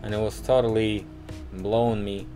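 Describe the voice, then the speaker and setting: A man with a Russian accent talking through a casual walking vlog outdoors on a city street, rambling and halting with many restarts. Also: lowish voice, little pitch movement, warm tone, faint music behind. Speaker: vlogger.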